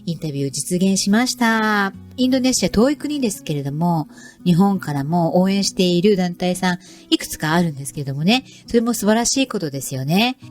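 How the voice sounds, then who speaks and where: Lively woman presenter, unhurried to brisk. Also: fairly low pitch, faint music behind. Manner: polite, presenterly. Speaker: program navigator and environmental education instructor. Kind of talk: scripted radio show introduction.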